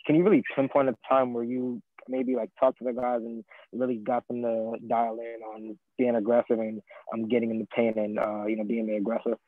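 A man's voice: mid-range voice, little pitch movement, fairly quick, no echo. A man, a sports reporter, asking a hesitant, filler-heavy question at a press conference over a phone line. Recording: tinny dry phone line.

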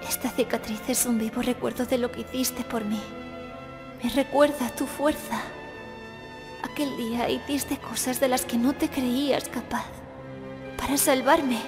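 Anime dub role: sorrowful, resolute young assassin girl played by a woman, highish voice, long reverb. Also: steady tone, lightly acted. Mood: tender sorrow. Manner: quiet, solemn, measured. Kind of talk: anime fan dub acting. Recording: long reverb under background music.

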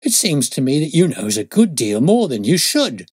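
A man's voice: medium pitch, lively intonation, normal speed, a little mellow, no room sound, studio quality, close to an everyday voice. Man acting a defensive, unschooled police constable. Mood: frightened, suspicious. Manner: colloquial, ungrammatical working-class speech. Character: uneducated, defensive London police constable, mystified and aggrieved.

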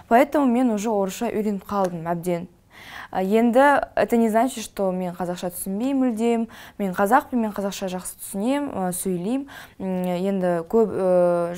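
A young woman from Kazakhstan, a podcast guest, talking earnestly and pleading with many hesitant pauses, on a lapel mic in a studio. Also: medium pitch, darkish tone. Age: young adult. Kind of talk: casual studio talk. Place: studio with dark backdrop.

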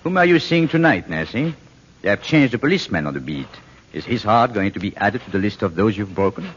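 Controlling husband, deep and wide-swinging. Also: male voice, very animated, fairly quick, slight background noise. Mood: mocking, suave. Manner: smooth-worded needling, switching to sharp command. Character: controlling, condescending husband, coldly manipulative. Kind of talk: 1940s radio drama acting. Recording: muffled old radio broadcast with long reverb.

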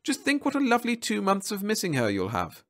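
Brief: storybook bureaucrat, acted by a male narrator; high, smug, officious, gloating over his clients. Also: wildly swooping pitch, brisk, exaggerated voice. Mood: smug delight. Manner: snappish, smugly bureaucratic. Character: smug, officious male government marriage counsellor, coolly gloating.

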